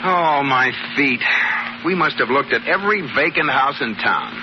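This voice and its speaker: A sensible, skeptical husband played by a male actor in a vintage radio comedy. He speaks at a medium pitch with lively exasperated swings, on a dull old recording. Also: normal speed, very noisy, natural voice. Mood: weary, exasperated. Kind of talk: 1940s-50s radio sitcom acting.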